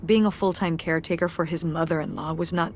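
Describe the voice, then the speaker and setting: A woman's voice: deep voice, steady tone, fast, soft background music. An actress playing a wry, tired middle-aged mother who runs a community theater, speaking with a dry, self-deprecating humor in naturalistic game dialogue. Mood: heavy.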